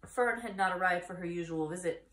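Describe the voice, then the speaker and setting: A woman in her thirties reading a children's story aloud in a deliberate, expressive manner. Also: lowish voice, reverberant, low-quality recording. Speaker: story reader. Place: small indoor room against a bare wall.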